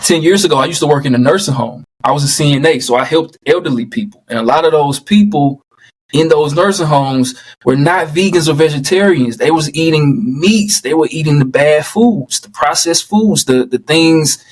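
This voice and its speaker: A man's voice: a little high, normal speed, rough recording. A man speaking as a podcast guest and vegan coach, earnest and emphatic, often repeating phrases for stress. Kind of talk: casual podcast conversation.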